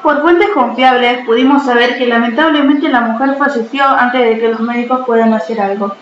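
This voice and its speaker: A woman's voice: a little high, steady tone, normal speed, close to an everyday voice. Female amateur as breaking-news reporter, radio play style, muffled roomy audio. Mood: newsy. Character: female radio newsreader reporting a fatal accident. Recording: dull, echoey, faintly noisy.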